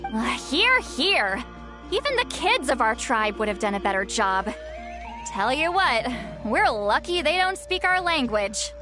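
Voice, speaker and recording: A woman's voice: high voice, very animated, a little slow, music in the background. A woman voicing a youthful, frank, practical girl in a video game. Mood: wry. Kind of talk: video game character acting.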